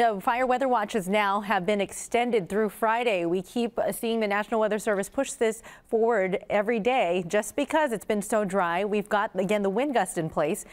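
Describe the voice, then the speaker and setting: A woman with an American accent giving a conversational, loosely phrased television weather forecast. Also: on the high side.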